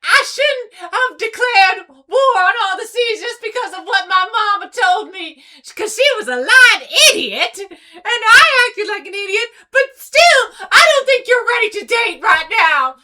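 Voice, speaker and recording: Overwrought cartoon mare,, piercingly high, swooping pitch, melodramatic, overprotective sister. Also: female voice, lively intonation, unhurried, few pauses, pushed and bright, exaggerated voice. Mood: frantic, wailing. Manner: wailing, overwrought delivery. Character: melodramatic, overprotective young female pony, big sister. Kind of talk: comic dub cartoon acting.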